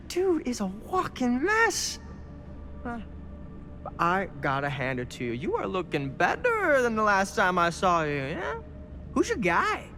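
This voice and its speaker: A man's voice: high voice, wildly swooping pitch, takes it slowly, pushed and bright, faint music behind, exaggerated voice. An actor playing a slick, sarcastic, cocky male hustler with a mocking manner in a cinematic horror game.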